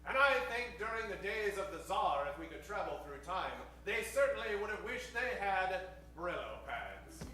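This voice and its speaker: A man announces in dramatic old-time radio style. His voice is unusually high and bright, pitched theatrically for the stage. The sound is echoey and a little distant. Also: sing-song, normal speed, hardly any pauses, a little hiss or hum. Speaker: announcer and narrator. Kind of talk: old-time radio narration, part improvised. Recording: echoey stage, distant mic, faint music.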